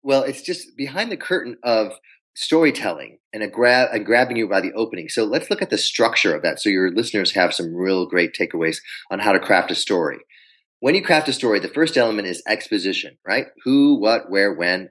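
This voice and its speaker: Low-voiced man coaching on pitches. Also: lively intonation, fairly quick, a bit bright. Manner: storytelling, acts out quoted dialogue. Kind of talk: interview, advice with anecdotes.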